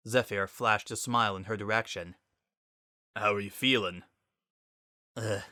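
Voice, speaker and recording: Guy narrating a story dramatically, bright mid voice, really dry sound. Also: on the low side, no room sound.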